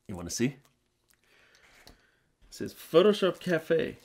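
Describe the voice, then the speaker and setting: A man's voice: a little high, big pitch swings, slow, gravelly, a little mellow. A middle-aged man, chatting informally and in a rambling way to his viewers as a channel host. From New Zealand. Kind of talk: casual talk to viewers.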